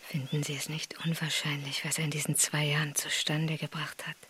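An actress playing a fragile, reserved, anxious middle-aged wife in a radio drama, hesitant and strained, with a breathy half-whisper. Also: female voice, deep voice, flat delivery, fairly quick, fairly bright tone, slight background noise. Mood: guarded, hurt.

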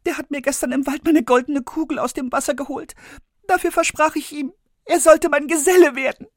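A high-voiced spoiled young princess. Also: male voice, fairly even intonation, fairly quick, no room sound, studio quality. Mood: frightened.

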